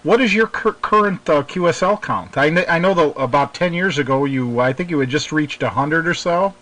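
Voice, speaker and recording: A man's voice: fairly high pitch, a little fast, fairly bright tone. A man hosting a radio show, chatting casually with a guest and asking questions. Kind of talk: casual interview chat.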